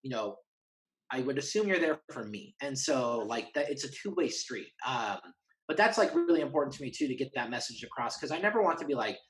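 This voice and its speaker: A man with a medium-pitched voice talks as a guest in a relaxed conversation. He is candid and earnest, using informal phrasing and often restarting a thought to put it another way. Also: brisk, poor audio. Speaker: interview guest.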